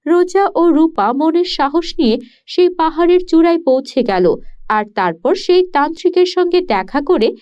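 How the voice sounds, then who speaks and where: A woman narrating a children's cartoon story in an even storytelling delivery. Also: very high voice, normal speed. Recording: dull lo-fi audio.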